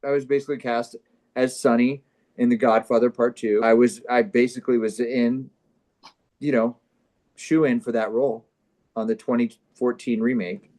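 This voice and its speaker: Man, deadpan comedy performer, casual banter and script reading, average pitch. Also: moderate pace.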